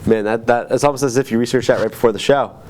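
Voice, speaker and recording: A man's voice: medium pitch, steady tone, quick pace, darkish tone, a little hiss or hum. A man co-hosting a podcast, bantering casually with dry, joking asides. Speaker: podcast co-host.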